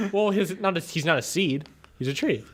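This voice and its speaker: A man's voice: fairly high pitch, a bit bright. A man in casual game-table banter, prompting the other players in a teasing, mock-serious way.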